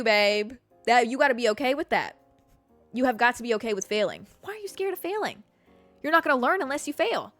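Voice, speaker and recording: A woman's voice: sits high, sharp tone, no echo. A woman in her mid-twenties hosting a podcast, giving listeners a blunt, profanity-laced motivational pep talk and calling them babe and girl. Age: 20s. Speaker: podcast host. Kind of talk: casual motivational monologue.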